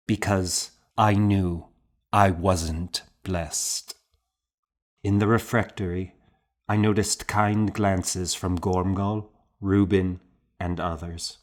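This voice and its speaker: Slow, low-voiced male audiobook narrator. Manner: measured, solemn storytelling. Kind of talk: scripted first-person literary narration of a historical novel.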